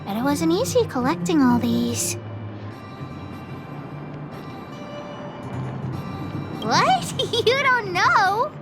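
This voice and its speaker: A woman voicing a bossy, excitable little girl who leads a gang of kids, self-important and cheeky, in a video game. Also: extremely high pitch, measured pace, exaggerated voice. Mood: delighted, curious. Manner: self-important, cheeky, refers to herself by name. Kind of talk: video game character voice acting. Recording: music under the voice. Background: background music.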